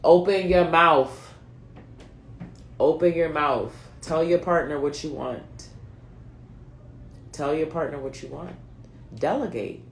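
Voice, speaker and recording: A woman's voice: deep voice, takes it slowly, long pauses, slight background noise. Slangy late-thirties woman in an echoey room. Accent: mixed US East Coast (New England, Philadelphia, North Carolina, Delaware). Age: late 30s. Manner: chatty, slangy, talks straight to viewers. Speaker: card reader. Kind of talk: casual spoken card reading for viewers.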